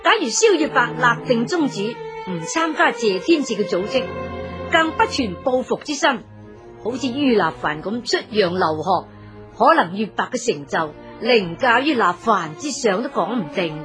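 A woman narrating a radio drama as a storyteller, dramatic and expressive, addressing her listeners. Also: on the high side, moderate pace, music in the background.

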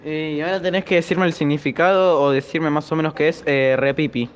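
A man's voice: a little high, steady tone, brisk, long reverb tail, lo-fi sound. A teenage boy or young man with an Argentine accent, asking casual questions as a student radio interviewer. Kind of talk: casual vox pop questioning.

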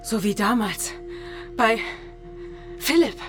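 A high-voiced, spoiled and cruel woman in a radio thriller. Her delivery swings widely, with music clearly behind her. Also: lively intonation, takes it slowly. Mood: alarmed. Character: spoiled, cruel woman who toys with lives. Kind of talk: German radio crime drama acting.

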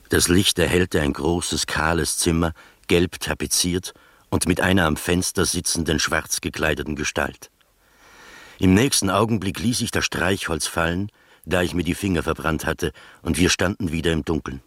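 An actor playing an observant first-person storyteller, narrating a literary radio drama in measured fashion. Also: male voice, low-pitched, fairly bright tone, no room sound, studio quality.

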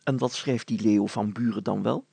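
Man playing a pompous, gravelly village mayor. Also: lowish voice, steady tone, warm tone, no room sound, pitched below the performer's own voice. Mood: puzzled, probing. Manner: formal, deliberate, hemming and hawing. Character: pompous, fussy, hesitant older male village mayor.

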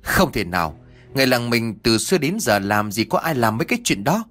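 A man's voice: medium pitch, sing-song, fairly quick, a bit bright, soft background music. A man voicing an older, hospitable village chief who speaks earnestly and plainly in a told story. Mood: disbelieving.

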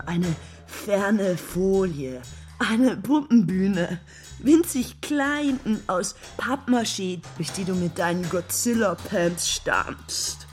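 An actress playing a bitter, lovesick woman worn down by endless waiting, in an intense radio drama monologue. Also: lowish voice. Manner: intense, brooding, building from hushed to agitated.

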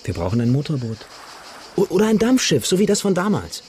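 A man's voice: a little high, wildly swooping pitch, brisk, darkish tone, slight background noise. An actor playing an intense, impulsive and nostalgic young man in a German radio drama, with an urgent, emotional delivery. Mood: insistent.

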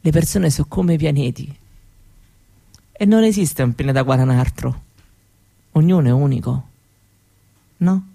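An actress playing a dreamy young Roma woman recalling her childhood in an intimate, halting radio monologue. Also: low-pitched, lively intonation, gravelly, full character voice. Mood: wistful, wondering. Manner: halting, wistful, with soft repetitions.

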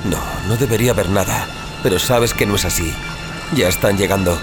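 A man's voice: fairly low pitch, a little fast, natural voice. A man with a Castilian Spanish accent playing a haunted, urgent brother with an intense, portentous manner in an audio drama. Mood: urgent, alarmed. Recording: loud music bed under the voice. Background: loud music, thunder.

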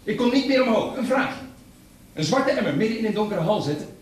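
A man's voice: high-pitched, animated, fairly quick. An indignant injured businessman. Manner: clipped, indignant, bossy. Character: injured 37-year-old businessman stuck on floor, stiff, irritable. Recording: roomy with faint noise.

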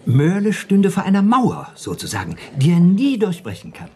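An actor playing a sly, worldly drinking companion spinning schemes in a German radio play, wry and speculating. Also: male voice, high voice, wildly swooping pitch, normal speed, a little mellow. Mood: sly, amused.

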